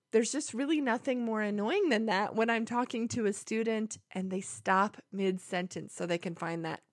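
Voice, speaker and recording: Woman, English teacher, clear deliberate explaining, medium pitch, dry sound. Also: no room sound. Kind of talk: language lesson to camera.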